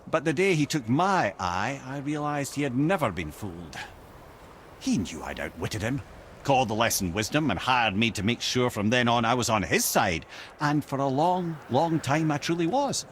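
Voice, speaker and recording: Wry old Scottish storyteller over noisy ambience. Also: male voice, mid-range voice, very animated, normal speed, fairly bright tone. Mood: storytelling, confiding. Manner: wry, eloquent storyteller with theatrical flourishes. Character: witty, wry old counselor, a well-travelled talking head. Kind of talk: video game character dialogue.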